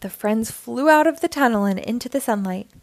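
A woman reading a children's storybook aloud with expressive, measured narration. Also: on the high side, dry recording. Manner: measured, clearly phrased.

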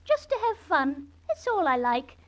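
High-voiced, carefree young woman, old radio. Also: sing-song, normal speed. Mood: breezy, carefree. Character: carefree young Irish-born woman, fun-loving, poor lodger. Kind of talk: 1950s radio drama acting. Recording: dull old radio recording, faint hiss.

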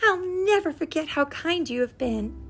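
A woman voicing a tiny female fairy who is polite and curious, with a sweet, clear voice. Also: high voice, expressive, normal speed, fairly bright tone, faint music behind, pitched above the performer's own voice.

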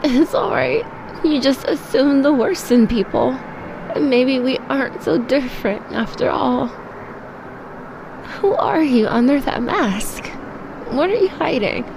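A tough young female cat burglar talks slowly in a slightly high voice. She is guarded and defiant, in audio drama style, over loud background noise. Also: animated, heavily acted. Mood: searching. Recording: clear noise and ambience behind voice.

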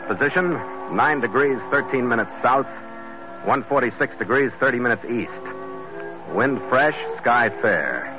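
An actor playing a steady, seasoned ship's captain, narrating his log in clipped 1940s radio drama style. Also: mid-range voice, a little slow, music in the background, natural voice. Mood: terse, official. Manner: terse, clipped log-entry phrasing. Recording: noisy, muffled old radio recording.

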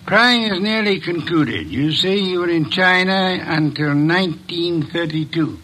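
A man's voice: a little high, unhurried, noisy background, close to an everyday voice. An actor playing a shrewd, formal male detective who speaks in a precise, commanding way, in 1940s radio style.

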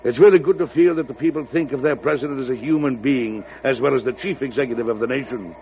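An actor playing a dignified, middle-aged bachelor president in an old-time radio drama, romantic and easily flustered, speaking in a courtly, hesitant way. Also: male voice, mid-range voice, fast talker, close to an everyday voice. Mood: boyishly happy. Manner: courtly, hesitant, musing aloud. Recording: muffled vintage radio broadcast recording.

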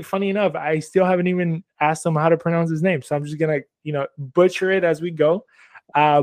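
A man's voice: a little high, darkish tone, no room sound. A man hosting a casual interview, asking loose, rambling questions full of fillers. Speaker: podcast host.

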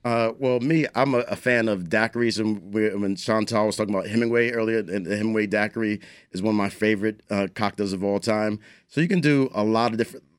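A man's voice: on the low side, fast, clean tone, dry recording, studio quality. A man chatting casually as a guest in an interview. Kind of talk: casual chat.

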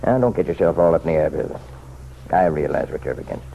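A man's voice: very deep voice, lively intonation, fast, gravelly, heavily acted. An actor in an old-time radio drama playing an insistent, folksy townsman who offers pointed, chummy advice. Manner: folksy, chummy, leaning and persuasive. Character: insistent, insinuating townsman, nosy self-appointed helper to the sheriff. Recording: muffled, noisy vintage radio recording.

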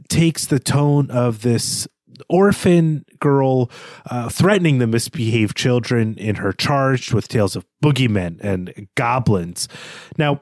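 A man co-hosting a podcast, talking through literary analysis in a measured, explanatory way with emphatic stresses. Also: mid-range voice, darkish tone, dry recording. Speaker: podcast co-host.

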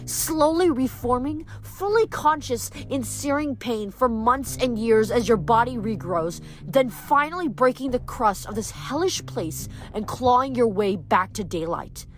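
A woman's voice: fairly high pitch, expressive, measured pace. A woman voicing a vengeful female vampire demon leader in a fantasy story, taunting and snappish, with music behind her. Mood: bitter menace.

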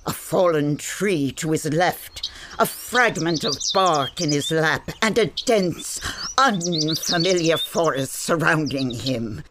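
An imperious female storyteller, narrating a fantasy audio drama slowly in a lowish voice. She is wry, theatrical and easily irritated. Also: animated, noisy background. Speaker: main narrator.

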